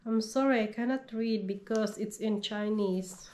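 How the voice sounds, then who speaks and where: A woman's voice: mid-range voice, unhurried, warm tone. A woman in her thirties with a cold, gushing over items she unboxes in her living room. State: has a cold. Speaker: haul vlogger. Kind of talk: casual product haul. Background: crinkling packaging.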